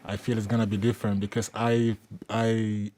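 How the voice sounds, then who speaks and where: A man's voice: on the low side, little pitch movement, normal speed, warm tone. Hesitant Nigerian man in his twenties. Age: 20s. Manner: hesitant, many restarts and fillers. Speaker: guest, aspiring entertainment entrepreneur. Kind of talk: radio interview chat.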